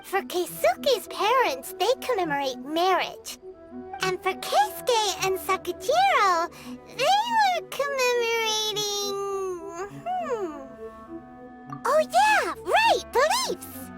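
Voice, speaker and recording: Teeny chirpy anime fairy sidekick from a game, super high squeaky kid voice, swoops around, nosy. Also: female voice, very animated, takes it slowly, exaggerated voice. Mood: thoughtful, recalling. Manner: squeaky, speaks of self in third person. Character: tiny childlike floating fairy companion, chirpy, inquisitive. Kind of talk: exaggerated anime game voice acting. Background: loud background music.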